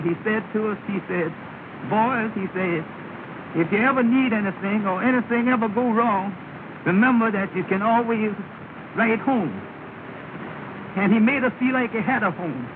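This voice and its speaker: An actor playing an earnest, humble Southern cab driver in an early 1930s film comedy, with a folksy, plaintive, deferential manner. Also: male voice, high voice. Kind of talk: 1930 early sound film comedy acting. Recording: scratchy, tinny, noisy 1930 film soundtrack.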